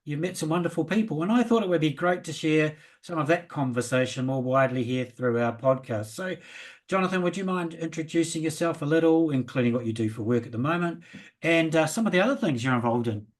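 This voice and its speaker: A man's voice: on the high side, expressive, a little fast. A man hosting a podcast interview, friendly and conversational. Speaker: podcast host.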